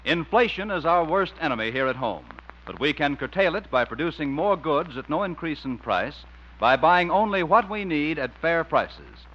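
A man's voice: a little high, animated, moderate pace. A man announcing and narrating in a polished, emphatic 1950s radio style. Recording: muffled vintage radio broadcast, faint music.

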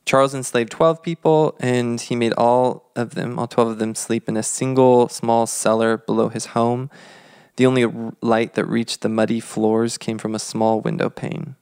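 A man in his thirties co-hosting a podcast, telling history in a measured, reflective, conversational way. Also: mid-range voice, little pitch movement, a little mellow, clean tone, no echo, studio quality. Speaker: podcast co-host.

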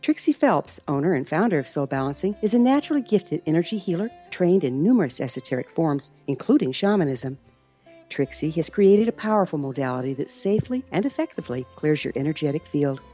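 Woman announcer, low mellow voice, upbeat ad read, guitar music behind, dull sound. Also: very animated, brisk. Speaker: advertisement announcer. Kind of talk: scripted ad read.

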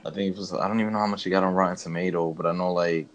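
A man's voice: low voice, steady tone, very fast, lo-fi sound. A man co-hosting a podcast, giving a casual film review in a rambling, hesitant, laid-back way. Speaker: podcast co-host.

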